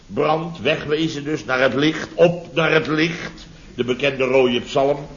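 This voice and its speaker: An actor playing a flustered contractor in a 1970s radio comedy, telling domestic mishaps in halting, repetitive sentences full of tag questions. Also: male voice, a little high, a little hiss or hum. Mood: animated storytelling. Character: flustered male contractor recounting his chaotic domestic mishaps. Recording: dull vintage radio recording.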